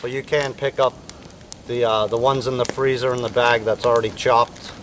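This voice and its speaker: A relaxed American man talks his way through an outdoor cooking demo in an unhurried, rambling manner full of fillers. He stands a little away from the microphone, and faint outdoor noise sits under his voice. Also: mid-range voice, recorded from a distance. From United States. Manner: laid-back, rambling, many fillers. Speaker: bushcraft instructor. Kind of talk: casual outdoor cooking demonstration. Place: outdoors at a campfire.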